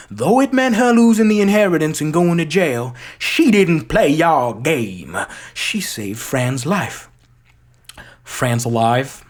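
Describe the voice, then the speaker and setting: A performer reciting as a theatrical, shrewd Southern gentleman detective with a drawling American Southern accent. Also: medium pitch. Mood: triumphant. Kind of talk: dramatic recitation of a film monologue.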